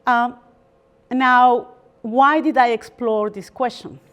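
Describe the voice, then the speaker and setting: A woman from Peru, a barrister giving a formal university lecture in a measured, thoughtful way with frequent pauses. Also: fairly high pitch, fairly even intonation, clear, resonant tone, muffled recording. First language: Spanish.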